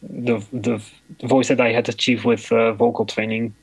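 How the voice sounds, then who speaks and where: A man with a deep voice giving a personal account in an interview, often pausing and searching for words. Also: little pitch movement, breathy edge, no echo, rough recording. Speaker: interview guest.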